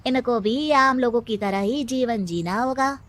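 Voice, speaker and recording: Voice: medium pitch, fairly bright tone, close to an everyday voice. A voice putting on a sly cunning adviser. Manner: sly, sneering, slow.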